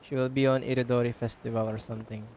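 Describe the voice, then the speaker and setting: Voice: medium pitch, little pitch movement, fast talker, darkish tone, a little hiss or hum. A game streamer chatting casually during a live stream, with playful, rambling asides. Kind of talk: casual live stream chat over gameplay.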